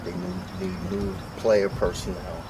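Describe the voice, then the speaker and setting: A man's voice: deep voice, sing-song, a little slow, vocal fry. Man, New York accent, earnest coach, heavy traffic noise behind. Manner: earnest, motivational, explains patiently. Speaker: youth basketball coach. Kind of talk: on-location interview. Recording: distant mic, loud street noise.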